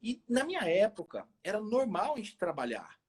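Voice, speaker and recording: A man's voice: high-pitched, wildly swooping pitch, moderate pace, darkish tone, breathy edge. A man of forty with a rural Minas Gerais Brazilian accent, chatting casually and colloquially about his own life, repeating phrases for emphasis. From small town in Minas Gerais, Brazil. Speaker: health expert guest on a live chat. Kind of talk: casual chat with personal stories. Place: remote social media live video call.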